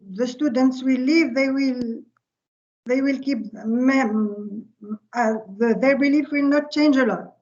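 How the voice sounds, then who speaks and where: Woman lecturing on a video call, kinda high voice, lots of uh pauses, muffled lo-fi audio. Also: darkish tone. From Tunisia. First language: Arabic. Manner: halting, with many filler pauses. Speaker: visiting lecturer. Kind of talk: online workshop presentation.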